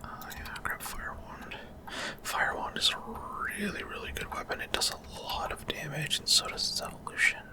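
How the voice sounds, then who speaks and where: Male ASMR gamer, fully whispered delivery, breathy, halting off-the-cuff explanations in short phrases. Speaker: gaming video creator. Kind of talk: whispered ASMR gameplay commentary.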